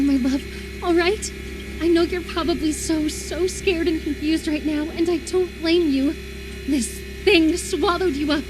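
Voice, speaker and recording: A high-pitched, unhinged obsessive lover. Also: female voice, noisy background. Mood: tender reassurance. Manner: intense, volatile, menacing, swinging between tenderness and fury. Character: unhinged, obsessive lover convinced an impostor replaced their beloved. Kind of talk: dramatic horror visual novel voice acting.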